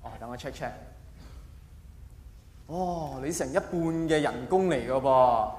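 A man with a Hong Kong Cantonese accent playing a courteous, officious bank loan officer in a comedy routine, asking formal, measured questions. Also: mid-range voice, darkish tone, voice pushed down, lightly acted. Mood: polite, probing. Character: polite, officious male bank loan officer interviewing a client. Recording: echoey hall, faint background noise.

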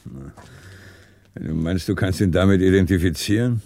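Male police chief, very low voice, dry close recording, terse, sardonic, probing, radio crime drama. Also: moderate pace, dark tone, no echo. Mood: brisk, laconic. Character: dry, laconic male police commissioner leading murder case. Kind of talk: 1960s German radio play acting.

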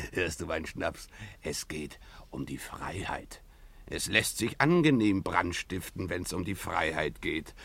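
Greedy drunken pirate skipper, 1950s radio drama; forceful, gravelly, swinging widely from mutter to bellow. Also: male voice, low-pitched, expressive, slow, no room sound, full character voice. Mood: mocking, drunken. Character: drunken, boastful, greedy pirate sea captain. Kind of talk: 1950s German radio play acting.

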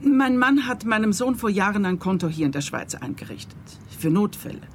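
A woman's voice: mid-range voice, expressive, brisk, a little mellow, natural voice. A composed middle-aged mother played by a woman in a radio play, speaking. She is reserved and polite, choosing her words with care over faint background noise. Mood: hesitant, hopeful.

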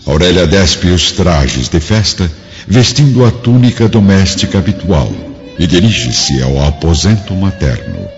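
A man narrating a radio drama in a solemn, literary, measured and dramatic style. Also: low-pitched, lively intonation. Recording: dull radio drama audio with music.

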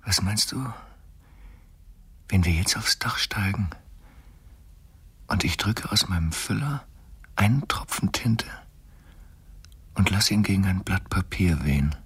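A man's voice: sits low, expressive, unhurried, pauses often, fairly bright tone, husky, exaggerated voice. A man playing a charming, intellectual lover in a radio play, musing playfully in an articulate, intimate way.

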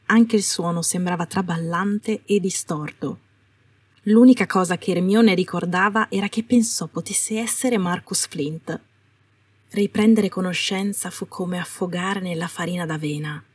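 Husky woman narrating a story. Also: on the low side, moderate pace, darkish tone. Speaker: podfic narrator. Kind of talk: scripted dramatic story narration. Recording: roomy, distant microphone.

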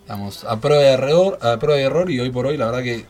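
A man's voice: medium pitch, animated, fast talker, darkish tone. Laughing Argentine man in his thirties. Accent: Rioplatense Spanish. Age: 30s. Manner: laughs often, rambling with many fillers. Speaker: stand-up comedian guest. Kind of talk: casual radio chat.

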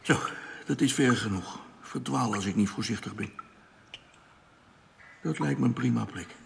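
A nervous working spaceman, stranded far from home in a science fiction radio drama. He is hesitant and stammers a little, with a creaky edge to the voice. Long cavern reverb and noisy ambience surround him. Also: mid-range voice, moderate pace. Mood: muttering, weary.

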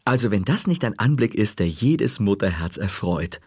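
A man's voice: on the low side, animated, normal speed, a little mellow, slightly rough, dry recording, studio quality. A man voicing a delighted mother in a storybook reading. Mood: pleased.

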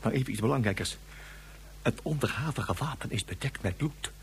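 An actor playing a flustered man in a Dutch radio drama, husky and stammering as he talks urgently and conspiratorially. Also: medium pitch, animated, brisk, a little hiss or hum, natural voice. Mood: hushed, urgent. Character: flustered man soothing, then secretly reporting a madman. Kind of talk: Dutch science-fiction radio drama acting.

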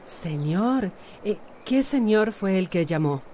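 Animated, commanding salon owner. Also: female voice, mid-range voice, slow, a little hiss or hum. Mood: alarmed, insistent. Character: bold, commanding female salon owner, secretly wary. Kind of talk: radio soap acting.

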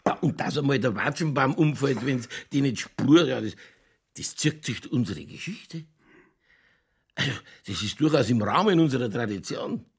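A world-weary police chief from Lower Bavaria, a man with a thick regional accent and a gravelly, husky voice. His pitch moves animatedly as he rambles on in tipsy, colloquial, cynically jovial radio-play dialogue. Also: exaggerated voice. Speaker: actor in a crime radio play. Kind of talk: naturalistic German radio drama dialogue.

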